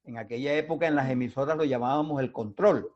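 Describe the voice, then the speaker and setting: A man's voice: mid-range voice, quick pace, darkish tone, dull audio. An older man, a radio drama veteran talking in a casual panel and repeating key phrases emphatically.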